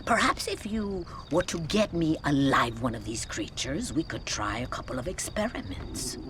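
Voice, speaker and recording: A woman's voice: sits low, sing-song, normal speed, noisy background. An actress playing a grave, pious Mexican Mother Superior in a video game, speaking formally with a Mexican Spanish accent. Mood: grave, musing. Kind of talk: dramatic game cutscene acting.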